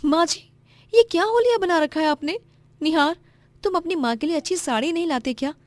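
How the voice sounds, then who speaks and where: A woman's voice: very high voice, brisk, dry recording, pitched above the performer's own voice. A woman voicing a spoiled, rude young bride in a cartoon, sneering and scolding. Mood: scornful. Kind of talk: cartoon voice acting.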